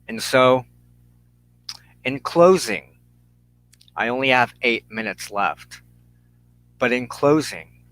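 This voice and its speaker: A man delivers an impassioned, sermon-like monologue at an unhurried pace. His voice sits a little higher than average, and he speaks deliberately, repeating phrases for emphasis and leaving dramatic pauses. The sound is close and dry, with hardly any room in it. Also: fairly bright tone, no echo, rough recording. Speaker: preacher and host of a spiritual show.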